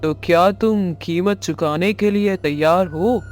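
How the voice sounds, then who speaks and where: A man playing a greedy, menacing magician in an animated story, speaking slowly and deliberately. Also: sits high, faint music behind. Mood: sly, bargaining. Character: sinister greedy bargaining male magician. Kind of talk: Hindi animated moral story acting.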